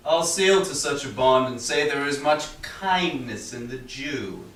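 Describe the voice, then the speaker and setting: A man's voice: medium pitch, expressive, unhurried, fairly bright tone. Man acting a proud merchant, echoey and distant. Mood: breezy, confident. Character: proud, scornful, confident merchant borrowing money. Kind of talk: staged reading of Shakespeare. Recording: distant, echoey room with background noise.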